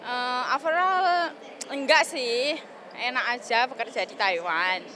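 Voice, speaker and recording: A woman who works as a domestic worker, answering a street interview slowly and hesitantly, with pauses. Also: on the high side, crowd noise.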